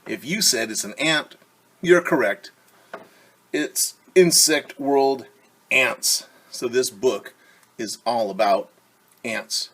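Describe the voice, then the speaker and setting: A man teaching young children, reading a picture book aloud and gently asking them questions. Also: medium pitch, slow, pauses often, husky. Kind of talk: children's story time read-aloud.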